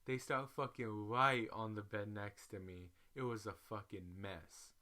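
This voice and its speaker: Bright, forceful man reading a story. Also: lowish voice, measured pace. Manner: animated, exclamatory in quoted lines. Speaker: reader. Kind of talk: dramatic comedic reading of a profane story.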